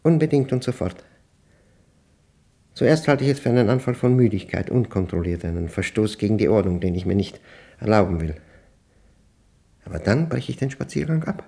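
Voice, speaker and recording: An actor playing a brooding, suspicious old widower who speaks a halting, introspective diary monologue in a German radio play. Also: male voice, fairly low pitch, very animated, vocal fry, dark tone, slightly rough, heavily acted. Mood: uneasy, confiding. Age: older. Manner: halting, deliberate, broken phrases.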